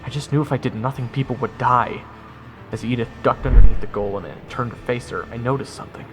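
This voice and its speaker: Guy as soldier narrating a fantasy audio story, medium pitch, wry, matter-of-fact, music behind. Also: fast, natural voice. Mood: urgent. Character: wry watchful soldier telling his story. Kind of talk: first-person scripted narration.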